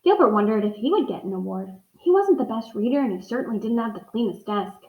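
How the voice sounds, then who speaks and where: A woman schoolteacher reading a storybook aloud and chatting to young children in an expressive way. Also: fairly high pitch, fast. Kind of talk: storybook read-aloud with casual asides to pupils. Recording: echoey room, slightly dull sound.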